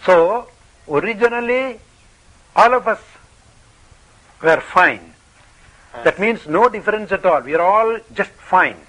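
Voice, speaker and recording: A man with a high, bright voice gives a spiritual talk in English. He speaks in short, playful phrases and often asks questions. He stops after each phrase so an interpreter can translate it. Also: animated, slow pace, pauses often, low-quality recording. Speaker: spiritual teacher.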